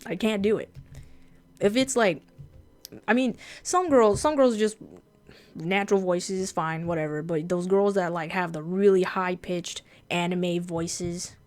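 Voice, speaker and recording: Laid-back deep-voiced woman chatting. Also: a little slow. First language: Japanese. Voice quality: fairly deep voice. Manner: laid-back, blunt, swears freely. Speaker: streamer and artist. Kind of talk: casual livestream chat while drawing.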